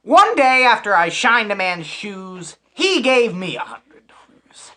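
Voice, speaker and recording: A woman's voice: mid-range voice, sing-song, slow, pushed and bright, heavily acted. A woman playing a cheap, vain, exasperated male comedian hosting an old-time radio comedy, with a hesitant, put-upon manner. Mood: self-satisfied. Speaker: master of ceremonies.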